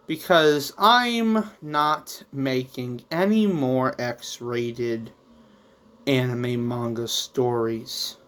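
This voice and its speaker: Casual vlog host, medium pitch, slow pace, rambling and a little theatrical, addressing viewers directly. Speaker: YouTube host and manga creator.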